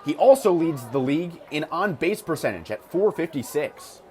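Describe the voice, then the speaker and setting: A man's voice: a little high, big pitch swings, moderate pace, dark tone. A man doing live radio play-by-play of a baseball game as the announcer, with clipped, descriptive calls. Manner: clipped, descriptive calls that swell on big plays. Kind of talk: live sports commentary. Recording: clear ambient ballpark noise behind voice. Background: ballpark ambience.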